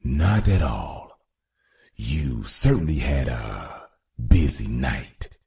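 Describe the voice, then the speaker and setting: Very deep, gravelly cartoon crime boss. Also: male voice, a little mellow, echoey room, full character voice. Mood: sly, smug. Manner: slow, deliberate, theatrical. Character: menacing adult male crime boss, smooth and scheming. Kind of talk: comic-book cartoon villain acting. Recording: music behind the voice. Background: music.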